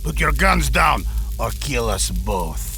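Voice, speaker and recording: An actor playing a brash, threatening space pirate raider in a sci-fi audio drama, bellowing his drawn-out commands over music. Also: medium pitch, big pitch swings, vocal fry, exaggerated voice. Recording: loud music behind.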